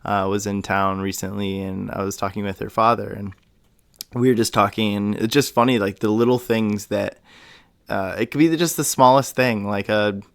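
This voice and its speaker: Smooth-voiced, low-pitched male podcast host. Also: steady tone, moderate pace, dry recording. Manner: enthusiastic, affirming. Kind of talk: casual conversational interview.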